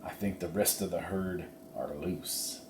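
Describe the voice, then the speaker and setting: A tough cowboy boss played by a man reading a storybook gives calm, clipped orders in a hushed, low voice. The tone is dark and mellow, with a roomy echo around it. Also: unhurried. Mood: hushed, commanding. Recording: roomy echo, faint background noise.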